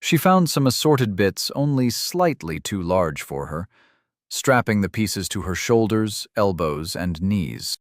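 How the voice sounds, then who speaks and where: Male narrator, low voice, measured audiobook reading, dry close mic. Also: very animated, no room sound. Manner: measured, reads game item text. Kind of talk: scripted fantasy narration.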